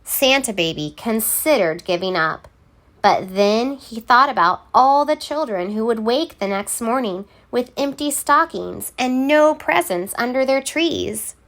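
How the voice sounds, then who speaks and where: Female storybook reader, highish voice, very lively intonation, theatrical and deliberate delivery for young children. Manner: theatrical, deliberate, emphatic word by word. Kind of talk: expressive children's read-aloud narration.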